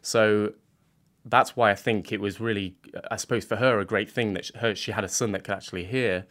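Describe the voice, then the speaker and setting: A man speaking as a guest on a radio interview, earnest and halting, often restarting his sentences as he explains himself. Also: lowish voice, fairly even intonation, fairly bright tone. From England. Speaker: guest, art student.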